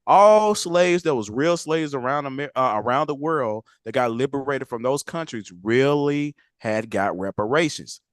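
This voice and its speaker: A man's voice: mid-range voice, moderate pace, hardly any pauses, no echo. Blunt preachy man, dry close mic. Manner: blunt, preachy, swears freely. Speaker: channel host. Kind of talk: casual podcast chat.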